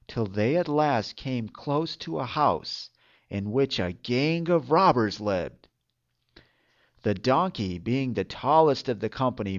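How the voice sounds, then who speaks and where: Slow, expressive male fairytale storyteller. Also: mid-range voice, muffled recording.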